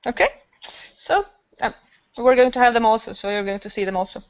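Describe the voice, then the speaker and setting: Female festival organiser, clear highish voice, rambling and keen podcast chat. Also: steady tone, a little fast, no room sound. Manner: enthusiastic, rambling. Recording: dull lo-fi podcast recording.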